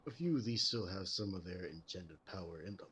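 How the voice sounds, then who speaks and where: A low, grave American voice from a man in character as an ageless detective in an audio roleplay. He explains things calmly and formally, in measured phrases, over audible background noise. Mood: grave, explanatory. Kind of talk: scripted roleplay audio drama.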